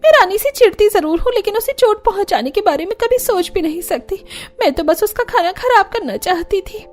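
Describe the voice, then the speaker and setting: Woman doing cartoon daughter-in-law, jealous and guilt-ridden, very high pitch, defensive pleading over music. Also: expressive, fairly quick, darkish tone. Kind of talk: Hindi cartoon family drama.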